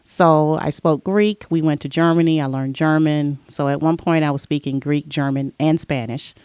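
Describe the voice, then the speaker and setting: A middle-aged woman, a podcast guest, telling stories from her past in a relaxed, conversational way. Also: deep voice, normal speed, clean tone, no room sound. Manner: relaxed storyteller, recounting her past at length. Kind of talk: casual interview chat.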